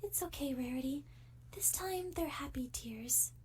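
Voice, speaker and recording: A woman playing a gentle, earnest, anxious pony in a fan audio drama, speaking softly and hesitantly. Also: high-pitched, unhurried. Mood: tearful relief. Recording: music behind the voice.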